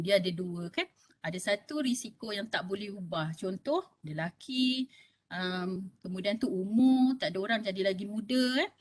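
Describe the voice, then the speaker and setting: A woman's voice: mid-range voice, fairly bright tone. Woman, 50s, Malaysian Malay accent, health talk speaker, conversational, checks in with audience. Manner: conversational, keeps asking "okey?" to the audience.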